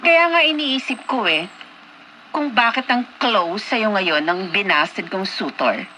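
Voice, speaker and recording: A woman's voice: mid-range voice, lively intonation, takes it slowly, pushed and bright, slight background noise, poor audio. An actress playing a vain, haughty rich heiress in her twenties in a radio drama, conceited and dramatic, with a Filipino accent. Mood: smug, vain. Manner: conceited, dramatic, code-switching Taglish. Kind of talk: Philippine radio drama acting.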